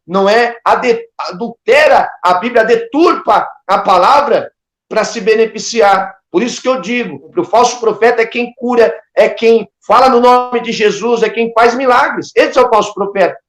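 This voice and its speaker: High-pitched Brazilian man preaching in echo. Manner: exhortatory, emphatic, with rhetorical questions and devotional interjections. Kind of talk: impassioned sermon. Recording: echoey, reverberant room sound.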